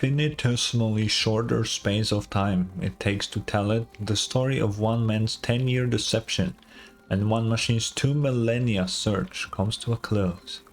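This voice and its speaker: A woman's voice: extremely low pitch, a little slow. A woman streamer giving casual reaction commentary, slangy, sweary and wry.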